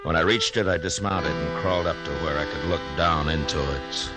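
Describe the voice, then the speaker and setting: An actor playing a calm, grim frontier marshal who narrates and leads in a 1950s radio drama, measured and commanding. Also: male voice, rumbling low. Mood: somber. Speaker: narrator and lead. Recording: old radio with loud music bed.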